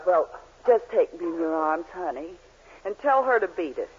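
A woman's voice: on the low side, expressive, moderate pace, natural voice. An actress playing a brash, possessive, man-crazy girlfriend with sassy slang in a 1940s radio sitcom. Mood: possessive, defiant. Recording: muffled old radio with studio audience.

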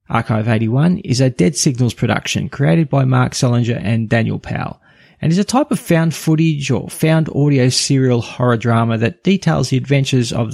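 This is a man hosting a podcast review show in a relaxed, scripted read. His voice has a gravelly, husky edge, and the recording is close and dry. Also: medium pitch, no echo. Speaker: podcast host.

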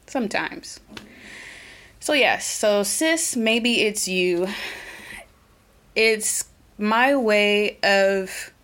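A woman with an Oklahoma twang hosting her own solo podcast, talking earnestly and reflectively, pausing and restarting as she thinks aloud. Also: fairly low pitch, fairly bright tone. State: a little nervous. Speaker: podcast host.

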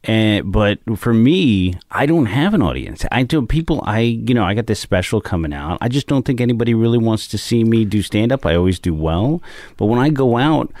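A man's voice: lowish voice, moderate pace, no echo, clean studio sound. A man in his forties, a comedian guest chatting casually and telling stories with animation. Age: 40s. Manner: animated storyteller, jumps into voices when quoting. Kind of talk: casual chat, storytelling.

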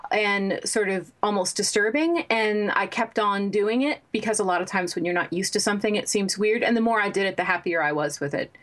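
A woman novelist as an interview guest, answering in a thoughtful, measured way with frequent fillers. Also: medium pitch, fairly bright tone. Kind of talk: interview conversation.

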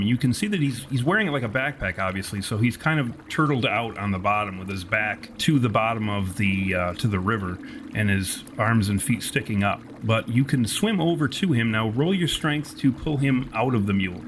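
A man's voice: medium pitch, animated, moderate pace, fairly bright tone, a little hiss or hum. A man improvising narration as game master of a tabletop roleplaying game, with wordy, storyteller phrasing.

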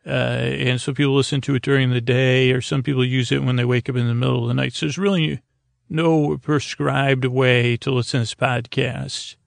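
Male bedtime podcast host, heavy vocal fry, dry recording, meandering self-deprecating delivery with halting, unfinished thoughts. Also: mid-range voice, level tone, no room sound. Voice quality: gravelly, creaky. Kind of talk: rambling bedtime podcast monologue, casual.

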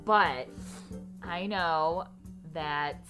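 A woman's voice: on the low side, extremely slow, over music. A woman co-hosting a podcast in casual chat. She talks loosely, laughs often and swears casually. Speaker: podcast co-host.

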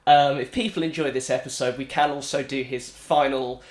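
A man's voice: mid-range voice, fairly even intonation, moderate pace. Jokey man in a roomy podcast. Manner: jokey, laughs often. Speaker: podcast co-host. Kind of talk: casual chat.

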